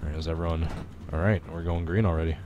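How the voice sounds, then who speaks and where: A man's voice: extremely low pitch, fairly even intonation, fast talker, muffled recording, noisy background. A man with a stuffy, congested voice chatting offhandedly while live streaming a racing game. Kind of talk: casual live stream chat and game commentary.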